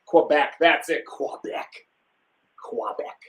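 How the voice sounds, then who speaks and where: A man's voice: fairly high pitch, slow, fairly bright tone. A young man from Wisconsin hosting a casual live stream, talking enthusiastically and rambling with plenty of fillers and restarts.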